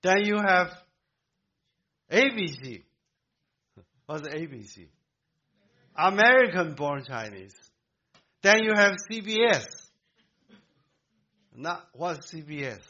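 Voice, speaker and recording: A man born in Korea, preaching a sermon to a congregation in an emphatic way, with halting restarts. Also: fairly high pitch, sing-song, pushed and bright, highs cut off. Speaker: pastor.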